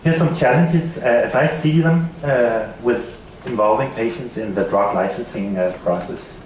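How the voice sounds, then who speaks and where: A man with a Danish accent giving a seminar talk, halting and repeating words often. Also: mid-range voice, a little hiss or hum. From Denmark. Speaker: seminar speaker. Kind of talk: conference presentation. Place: seminar hall. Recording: echoey hall from far off.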